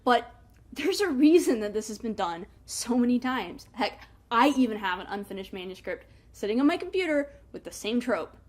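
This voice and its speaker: A woman hosting a book review in a casual, chatty way, with animated emphasis and wry asides. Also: a little high, moderate pace. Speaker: book review show host.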